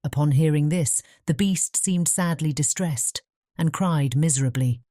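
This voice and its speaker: A woman narrating a fairy tale audiobook in a measured bedtime storytelling manner. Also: low voice, dark tone, no echo, studio quality.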